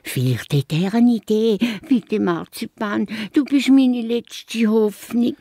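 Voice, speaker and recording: A woman's voice: a little high, slow, no echo. Children's audio drama: a chatty, naive female mouse with a Swiss accent, leisurely pace, very dry studio sound. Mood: eager, pleading. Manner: eager, questioning, stammering in excitement. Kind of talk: children's radio play acting.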